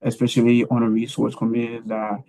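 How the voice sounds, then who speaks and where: A man from Liberia, speaking as a panelist about his career path in a halting way, with many fillers and restarts. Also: medium pitch, monotone, dark tone.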